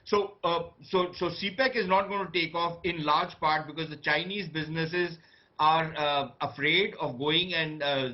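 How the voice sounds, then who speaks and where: A man with an Indian accent giving off-the-cuff political analysis on a news panel, pausing often as he goes. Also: a little high, fairly even intonation, muffled recording.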